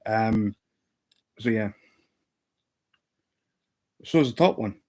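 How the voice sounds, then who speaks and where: Scottish male host, fifties, unhurried, rambling and playful with plenty of ums, good for a chatty home live stream. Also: fairly low pitch, expressive, a little mellow. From Scotland. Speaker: livestream host. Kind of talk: casual live-stream chat.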